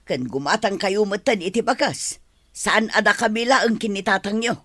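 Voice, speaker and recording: A woman playing a sharp, nagging older Ilocano mother. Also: mid-range voice, normal speed, dry recording, lightly acted. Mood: scolding. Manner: scolding, nagging. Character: nagging older mother fretting over bills and gambling. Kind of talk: amateur Ilocano audio drama.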